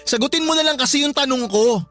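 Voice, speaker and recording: A woman's voice: high voice, quick pace, fairly bright tone, soft background music. A woman voicing a hot-tempered young boyfriend who games, in a radio drama. He talks in an insulting, sarcastic and bossy way. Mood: sarcastic, demanding. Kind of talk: Tagalog radio drama acting.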